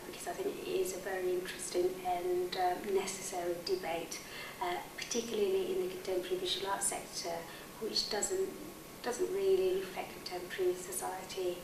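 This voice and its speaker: Woman in her 30s from bangladesh, even pitch, thinks out loud in an interview, echoey and far from the mic. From born in Bangladesh, moved to the UK as a child. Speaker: arts fellowship participant, artist educator. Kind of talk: reflective interview testimonial. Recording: roomy, distant mic, clearly noisy.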